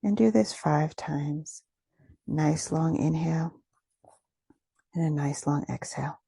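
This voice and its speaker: A woman leading a guided meditation, giving gentle, soothing instructions with unhurried phrasing and pauses. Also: deep voice, vocal fry, breathy edge, no room sound.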